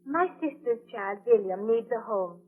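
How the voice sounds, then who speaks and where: A tinny old radio recording holds an anxious young baroness. Her voice is fairly high and husky, with earnest, tender, theatrical delivery. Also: animated, unhurried. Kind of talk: 1930s radio drama acting. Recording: tinny, muffled 1930s radio broadcast.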